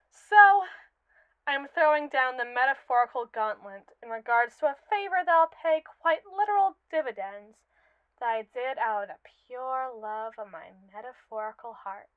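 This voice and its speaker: A woman plays a scheming, captive female robot with a high, crystal-clear voice, recorded very dry and close. She is pompous and sarcastic, spinning wordy, indignant speeches with haughty condescension in an ASMR roleplay. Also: no echo, phone-quality sound, close to an everyday voice. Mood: indignant, aggrieved. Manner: pompous, verbose, theatrically indignant. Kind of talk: scripted ASMR roleplay monologue.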